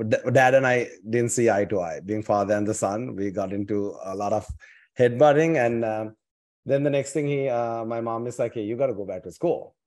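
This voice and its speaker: A man's voice: medium pitch, darkish tone. A man in his forties with a Sri Lankan accent, a company founder telling his story on a video call with lots of fillers and restarts. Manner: rambling, many fillers and restarts. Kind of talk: casual storytelling interview. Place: home office with dark bookshelves.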